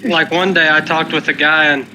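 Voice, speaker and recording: A man's voice: a little high, fairly even intonation, normal speed, bright, forceful tone, slight background noise, rough recording. A man from North Carolina, telling rambling stories in a casual chat. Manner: rambling anecdotes with fillers.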